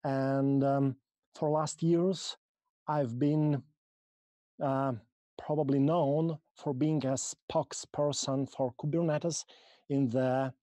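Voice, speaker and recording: Russian-accented man giving a halting tech talk. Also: mid-range voice, steady tone, warm tone, no echo. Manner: halting, many ums and restarts. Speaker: conference speaker. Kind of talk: technical conference talk. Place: small room, video call.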